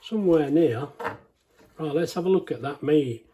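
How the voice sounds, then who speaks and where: An older man talks through his cooking in a home kitchen. His voice sits a little high for a man, and his chat is rambling and self-deprecating. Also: normal speed, mellow. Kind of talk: casual cooking commentary.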